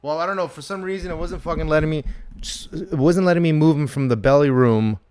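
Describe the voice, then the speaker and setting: A man's voice: on the high side, fast, darkish tone, clean tone, dry recording. A man hosting a casual online voice chat, laid-back and swearing casually. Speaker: host running the voice chat. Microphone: online voice call.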